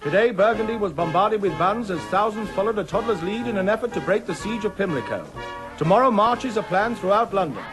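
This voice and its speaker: A brisk newsreel announcer played by a man reads bulletins in 1940s style. His voice sits high, with loud music playing behind it in this radio drama. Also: lively intonation. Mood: urgent.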